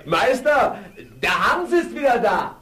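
An actor playing a mocking young miller's apprentice with a taunting manner in a fairy-tale audio play. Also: male voice, extremely high pitch, sing-song, takes it slowly, pushed and bright, exaggerated voice.

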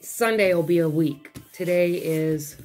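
A woman with a hoarse, failing voice chatting casually at her dining table as she unboxes craft kits, rambling and breaking off mid-thought. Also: fairly low pitch, measured pace, darkish tone, soft background music. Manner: rambling, chatty, self-interrupting. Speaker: craft vlogger. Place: home dining room, seated at a table.

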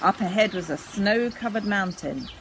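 A woman's voice: mid-range voice, moderate pace, a bit bright, slight background noise. An older woman reading a children's story aloud expressively, outdoors. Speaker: storybook reader. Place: outdoors in a garden.

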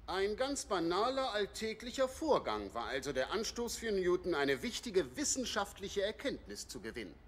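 A man's voice: sits high, very animated, normal speed, hardly any pauses, a bit bright, slight background noise. An actor playing a male school teacher lecturing a class in an audio drama. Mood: didactic.

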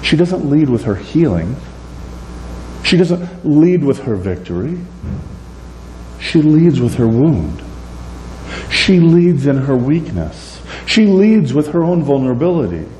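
A man in his forties preaching a sermon in a church, animated and gesturing, moving between loud and soft. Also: a little high, slow, long pauses. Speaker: pastor. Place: church sanctuary, indoors. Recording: echoey church room, faint hum.

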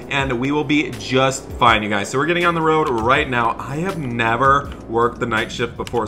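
Bright, animated man hosting a gaming video. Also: mid-range voice. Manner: animated, exclamatory, addresses viewers directly. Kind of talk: excited roleplay gameplay narration. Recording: music behind the voice. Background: background music.

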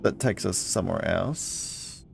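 A man's voice: deep voice, takes it slowly, vocal fry, faint music behind. A man giving casual let's play commentary, muttering his thoughts aloud and reading out item text.

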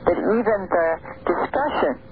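A woman guest on a radio talk show, explaining her views at a measured pace with pauses in mid-sentence. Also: medium pitch, lively intonation. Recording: tinny lo-fi radio broadcast, faint hiss.

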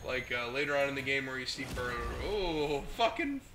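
A young man with the flu commentates on a game he is playing, chatting casually, swearing and reacting out loud to what happens on screen. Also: mid-range voice, very animated, moderate pace, over music. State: sick with the flu, hoarse. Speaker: gaming host. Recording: noisy home mic recording.

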